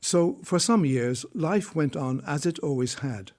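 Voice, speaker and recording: A man narrating a scripted story in a measured, solemn manner. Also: fairly high pitch, lively intonation, darkish tone.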